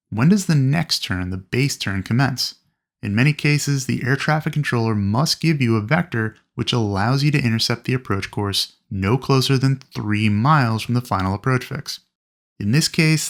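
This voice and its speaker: A man with a noticeably creaky voice at an ordinary middle pitch delivers instructional narration. He explains step by step, in the clear and methodical way of a flight instructor teaching students. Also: expressive, normal speed. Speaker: flight instructor presenting a training video. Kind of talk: instructional narration with acted radio calls.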